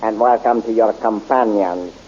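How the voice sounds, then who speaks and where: A man's voice: fairly low pitch, moderate pace, dark tone, natural voice. An actor playing a cruel, haughty male tyrant emperor in a 1930s radio serial, commanding and menacing, on a muffled old recording with hiss.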